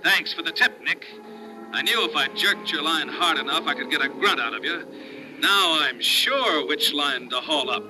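A man's voice: a little high, expressive, moderate pace, music in the background, close to an everyday voice, poor audio. A man playing a villainous ship's skipper, taunting and menacing, in a 1950s radio drama. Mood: gloating.

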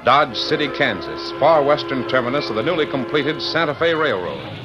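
An actor as the solemn, declamatory American narrator of an old radio western. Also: male voice, medium pitch, very animated, normal speed, lightly acted. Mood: dramatic. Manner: declamatory, portentous. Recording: muffled old radio recording, loud music.

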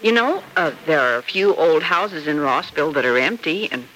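A woman's voice: low voice, normal speed. An actress playing a solicitous, supportive middle-aged American mother in an old radio soap opera. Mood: encouraging. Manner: solicitous, expressive. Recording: noisy vintage radio drama recording.

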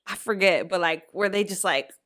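A woman's voice: mid-range voice, normal speed, a bit bright. A young woman co-hosting a podcast, talking bluntly and profanely in casual chat, full of fillers and quoted reactions. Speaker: podcast co-host.

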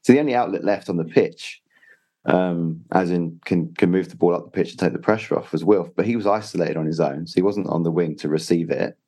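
A man co-hosting a football podcast, explaining his points in a measured way. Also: low voice, dark tone. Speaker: podcast co-host. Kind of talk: casual football analysis.

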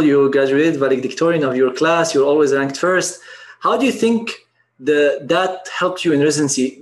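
A man hosting an interview channel, asking questions in a friendly, brisk way. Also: a little high. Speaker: podcast host, interviewer. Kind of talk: scripted-sounding interview questions.

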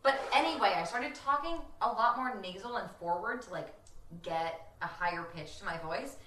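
A woman's voice: medium pitch, expressive, moderate pace, pushed and bright, a little hiss or hum. A young woman co-hosting a podcast, chatting casually and animatedly and filling her talk with "like". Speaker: podcast co-host. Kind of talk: casual chat. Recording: roomy echo.

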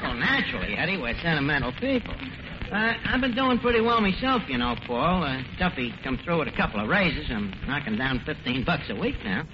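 An actor playing a brash, self-important tavern manager in a 1940s radio comedy, slangy bad grammar and mock-grand words. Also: male voice, a little high, sing-song, brisk. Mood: proud, sentimental. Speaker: comedy show host. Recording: dull, noisy old radio broadcast.

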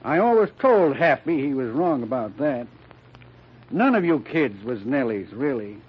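A man's voice: mid-range voice, big pitch swings, a little slow, husky, lo-fi sound. An American actor playing a plain-spoken, reproachful middle-aged man in an old-time radio mystery. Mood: frank.

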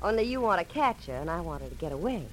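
An actress playing a cool, sassy gun moll with a Southern US accent and mock-sweet drawl in a 1940s radio drama. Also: female voice, on the low side, very animated, quick pace, soft background music, poor audio. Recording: vintage radio drama recording.

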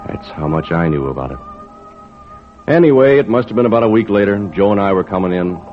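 An actor playing a plain-spoken, kindly fishing skipper who also narrates, in old-time radio drama style. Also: male voice, fairly low pitch, huge pitch swings, moderate pace. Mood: rueful. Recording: muffled old radio recording with music.

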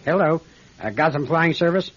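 A man's voice: a little high, animated, moderate pace, lightly acted. A man playing a troubled, determined airline captain in an old-time radio drama, speaking in terse, commanding bursts. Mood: businesslike. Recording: noisy muffled radio drama recording.

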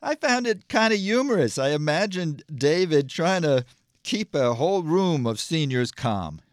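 A man's voice: fairly high pitch, big pitch swings, a little slow, dry recording, studio quality. A man co-hosting a radio storytelling show, commenting with light amusement. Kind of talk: casual chat with co-host.

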